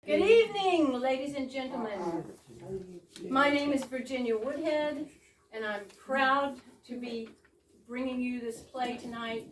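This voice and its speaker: A woman director presenting a play to an audience and reading its stage directions aloud. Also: fairly high pitch. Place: indoor performance space with audience. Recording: echoey room from far off, faint noise.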